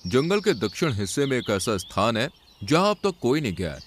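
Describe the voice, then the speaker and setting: A man's voice: mid-range voice, very animated, fairly quick, slight background noise, lightly acted. A man as a stern, wise cartoon lion. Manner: authoritative, admonishing. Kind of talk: Hindi animal fable cartoon dialogue.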